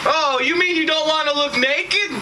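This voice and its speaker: A man's voice: very high-pitched, normal speed. A man voicing a boastful, scatterbrained pig in an amateur puppet show, with exaggerated delivery. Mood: silly, distracted. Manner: boastful, exaggerated, easily distracted. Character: know-it-all, vain, scatterbrained pig puppet. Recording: distant mic, noisy outdoor farm.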